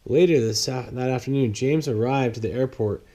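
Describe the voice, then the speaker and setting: A man's voice: mid-range voice, normal speed, warm tone. A man reading a children's picture book aloud as a bedtime story.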